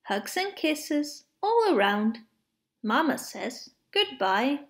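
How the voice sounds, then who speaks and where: A woman reading children's picture books aloud to preschoolers in an expressive, measured way. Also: high voice. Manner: expressive, measured phrasing with playful sound words. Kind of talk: storybook read-aloud for preschoolers.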